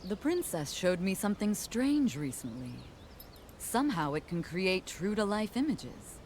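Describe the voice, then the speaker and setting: An actress playing a dignified, protective warrior woman in a video game, with a measured, poised and authoritative delivery. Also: mid-range voice, sing-song, darkish tone, slight background noise. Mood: calm. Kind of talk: dramatic video game cutscene acting.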